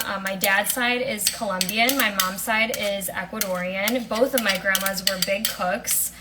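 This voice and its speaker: A young woman hosting a casual cooking demo at home, chatting in an upbeat, rambling way with lots of fillers. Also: mid-range voice. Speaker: cooking and health coach host. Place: home kitchen. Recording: noisy kitchen recording. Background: pans and utensils clattering.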